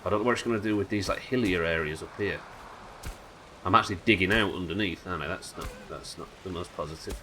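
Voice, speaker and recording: A man with a nasal voice, a little hoarse from illness, chatting wryly and swearing casually while streaming a game live. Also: deep voice, quick pace, pushed and bright, a little hiss or hum. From United Kingdom. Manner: chatty, wry, swears casually, muttering asides. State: sick, slightly hoarse.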